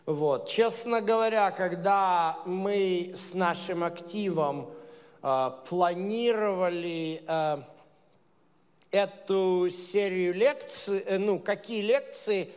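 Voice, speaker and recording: Guy giving a loose sermon, lots of ums, slow and clear, big echoey hall. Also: high-pitched. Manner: conversational, hesitant with many fillers, builds to emphatic points. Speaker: leader of a Messianic congregation. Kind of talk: informal sermon. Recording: long reverb of a large hall.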